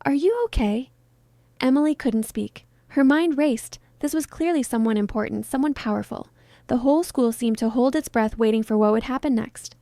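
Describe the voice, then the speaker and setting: A woman's voice: a little high, moderate pace, dark tone, dry recording. A woman narrating a scripted dramatic story.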